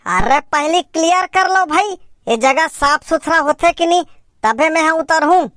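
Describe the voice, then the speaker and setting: A fussy, argumentative cartoon village man, played by a man, speaks in a high, pitched-up voice. He has a Chhattisgarh accent and hammers his words home by repeating them. The comedy dialogue sounds close and dry, with no room echo. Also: normal speed, sharp tone. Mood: stubborn. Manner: insistent, repeats words emphatically. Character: stubborn, fussy, argumentative male villager on a picnic.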